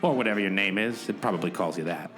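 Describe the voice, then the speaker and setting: A man's voice: fairly low pitch, fast, loud music behind the voice, close to an everyday voice. A man playing a weary, world-wise private detective in a hard-boiled radio play, brooding and dramatic. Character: weary, world-wise male gumshoe detective narrating his case.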